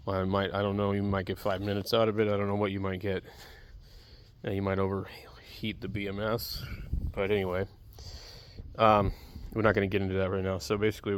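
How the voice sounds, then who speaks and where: A man with a fairly low, very even voice talks through a hands-on hobby demonstration. He is plainspoken and rambles casually as he sets up gear. The outdoor recording is clearly noisy. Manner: plainspoken, rambling, talking while setting up gear. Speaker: amateur radio hobbyist demonstrating portable gear. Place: outdoors in a backyard. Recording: noisy outdoor recording, uneven mic distance.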